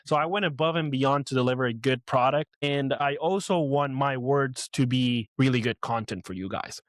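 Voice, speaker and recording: A man's voice: medium pitch, moderate pace, no room sound, clean studio sound. A man in his twenties from Puerto Rico, hosting his own podcast in a rambling, nervous way with many fillers and restarts. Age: 20s. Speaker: podcast host. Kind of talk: casual personal monologue.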